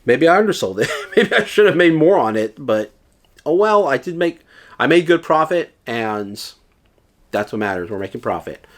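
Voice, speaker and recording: A man's voice: fairly high pitch, big pitch swings, normal speed. A man talking casually to his viewers, a bit nasal and dry-throated from illness, rambling with lots of fillers and restarts. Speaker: reseller YouTuber. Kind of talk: casual vlog advice to viewers.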